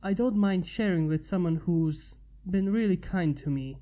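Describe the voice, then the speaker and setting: Woman as a quiet, hesitant young male pyromancer. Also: lowish voice, normal speed, close to an everyday voice. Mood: quiet, gentle. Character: shy, polite male pyromancer.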